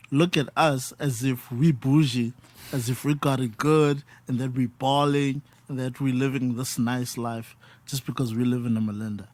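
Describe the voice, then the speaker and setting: Brief: man, 30s, podcast host, emphatic, mixes in isiXhosa, mid pitch. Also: a little mellow. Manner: emphatic, code-switching into isiXhosa. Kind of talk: casual conversation.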